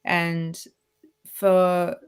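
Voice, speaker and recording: A woman in her thirties chats as a radio guest, her voice sitting a little low. She talks loosely, circling back on her words and laughing often. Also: monotone, extremely slow, clear, resonant tone. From Australia. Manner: chatty, laughs often, many restarts and fillers. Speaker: guest violinist. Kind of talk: casual radio interview.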